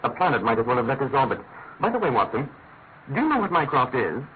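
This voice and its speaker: Brilliant, restless male detective for a classic radio mystery, played by a man: articulate, quick and lively in pitch, on a narrow-band recording. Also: slight background noise, lightly acted. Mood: amused, expounding. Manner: articulate, declamatory, musing. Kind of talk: classic British radio drama acting.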